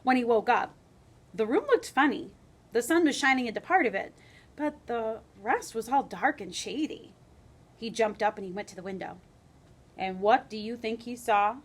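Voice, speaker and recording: A woman's voice: a little high, normal speed. A woman teaching a grade school lesson, reading a story expressively and adding conversational asides and questions. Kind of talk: children's read-aloud lesson, storytelling and questions.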